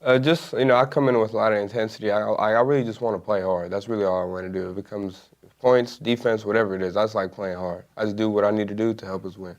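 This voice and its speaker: A man's voice: fairly low pitch, steady tone, quick pace, dull audio. A young man, a college basketball player, answering interview questions in a laid-back way, full of "you know" and "like". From Las Vegas, Nevada. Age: young adult.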